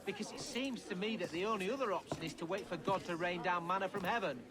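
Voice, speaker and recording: Brief: man as glib city eccentric, game chatter. High, theatrical, arguing from within a noisy crowd. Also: fast talker, lightly acted. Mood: amused, argumentative. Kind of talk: video game background chatter. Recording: distant voice amid loud crowd.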